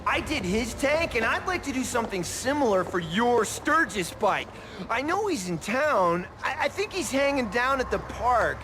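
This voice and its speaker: An actor playing an excitable young male bike painter in a game, talking in casual slang. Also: very high-pitched, normal speed. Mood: eager. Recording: noisy, ambient sound behind.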